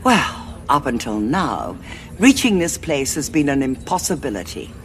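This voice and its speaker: An actress playing an authoritative female cardinal who speaks in a cool, measured way. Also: rumbling low, sing-song, a little hiss or hum, full character voice. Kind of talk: audio drama acting.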